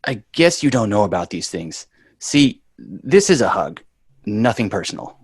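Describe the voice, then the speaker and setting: A man's voice: lowish voice, sing-song, measured pace, slightly rough, no room sound, exaggerated voice. A man playing a bratty, exasperated young boy in a sitcom table read, brash and sarcastic. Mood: mocking, explaining.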